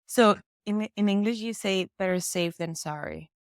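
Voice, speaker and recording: A woman with an Italian accent talks as a guest in a casual podcast chat. She explains things through expressive examples and short laughs. Her voice sits at a middle pitch and is recorded dry and close. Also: moderate pace, dry recording. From Italy. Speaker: language teacher, guest.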